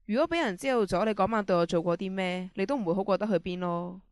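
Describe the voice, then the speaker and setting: A woman's voice: medium pitch, quick pace, dry recording, close to an everyday voice. A woman acting a scheming, desperate middle-aged prisoner in an amateur radio drama, commanding and manipulative. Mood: commanding, threatening. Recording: radio drama with music underneath.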